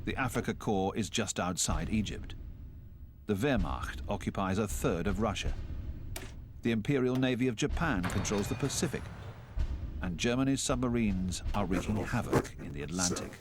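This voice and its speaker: A man narrating a historical documentary in a measured, solemn scripted delivery, recorded as a studio voice-over. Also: on the low side, animated, background noise. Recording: narration under loud music score.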